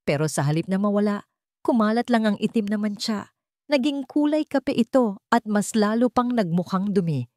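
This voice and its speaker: A woman's voice: mid-range voice, expressive, normal speed, a little mellow, no room sound. A woman reading a scripted dramatic story as its narrator.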